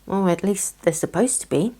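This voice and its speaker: Casting: wise old gentleman tortoise for a bedtime tale, kindly, slow and unhurried , voiced by a woman. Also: medium pitch, expressive, husky. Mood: kindly, calm.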